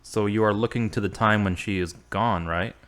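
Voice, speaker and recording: A man plays a devout boyfriend in an audio drama. His voice is deep and he speaks in a measured, earnest way. He comes across as gentle, steady and reassuring. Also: clean tone, lightly acted. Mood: thoughtful.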